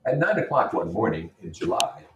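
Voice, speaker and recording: A man's voice: deep voice, very animated, brisk, fairly bright tone, a little hiss or hum. A man reading a novel aloud as a teacher and explaining it as he goes, often putting questions to his listeners. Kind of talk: read-aloud with explanatory comments. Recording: roomy echo, distant microphone.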